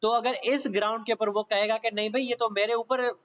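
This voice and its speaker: High-pitched Pakistani man lecturing. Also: fairly even intonation, fairly quick, fairly bright tone. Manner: instructional, mixes in English legal terms, repeated check-in tags. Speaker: law lecturer. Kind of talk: exam-prep lecture, explaining and reading out legal articles. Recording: dull, lo-fi sound.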